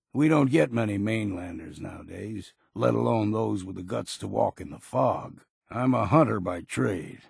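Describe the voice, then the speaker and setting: An actor playing a gruff old hard-drinking hunter and guide in a video game, with a raspy voice and folksy, laconic talk. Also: male voice, on the low side, dry recording, clean studio sound, heavily acted. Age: older. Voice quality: gravelly, raspy. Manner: folksy, dropped endings, laconic. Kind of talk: video game character acting.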